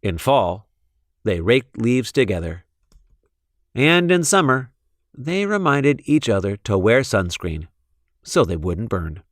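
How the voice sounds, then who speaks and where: A man reading a children's storybook in an expressive narrating style. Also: mid-range voice, takes it slowly, long pauses, no echo, clean studio sound. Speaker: storybook reader.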